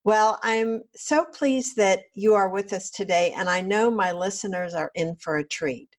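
A woman hosting a podcast, reading polished scripted introductions in a warm manner. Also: mid-range voice, unhurried. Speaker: podcast host. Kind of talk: podcast interview.

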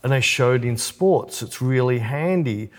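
A man's voice: mid-range voice, measured pace. A man co-hosting a podcast, chatting casually and explaining things with enthusiasm. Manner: chatty, animated when explaining. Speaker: podcast co-host. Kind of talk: casual chat.

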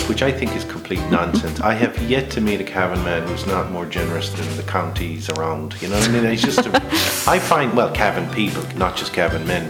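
A man interviewing a guest, chatty and opinionated, with bursts of high laughter. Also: reverberant.

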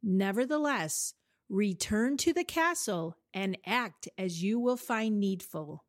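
Man playing a gentle regal fairy, slow soft speech, dry close sound. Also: sits high, expressive, no room sound, pitched above the performer's own voice. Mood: gracious.

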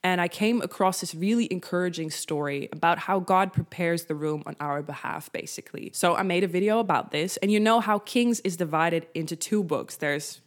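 A woman's voice: fairly low pitch, moderate pace, hardly any pauses. A young woman from the Netherlands, Dutch as her first language, speaking English with a Dutch accent. She gives a devotional talk straight to her viewers and acts out her own reactions in a chatty way. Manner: conversational, addresses viewers directly.

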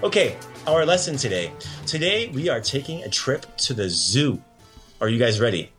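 A man with a mid-pitched voice leads a playful language lesson as host. He talks casually and encourages his learner, with music in the recording. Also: very animated, normal speed, fairly bright tone. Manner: encouraging, praising the learner often.

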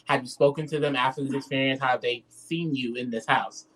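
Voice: medium pitch, steady tone, brisk, poor audio. Casual interviewer asking rambling questions. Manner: friendly, rambling questions with fillers.

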